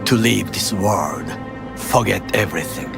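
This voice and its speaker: Creaky, solemn Japanese man. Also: deep voice, a little slow, exaggerated voice. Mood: solemn, brooding. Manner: formal, stilted, solemn phrasing. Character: stern, brooding Japanese male conspirator and former bodyguard. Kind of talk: cinematic video game acting. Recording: game music behind the voice.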